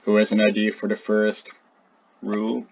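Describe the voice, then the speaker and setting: A man speaking briefly and practically, a little halting, in a group video call meeting. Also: fairly low pitch, monotone, creaky, darkish tone. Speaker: project group member. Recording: distant, muffled video call audio.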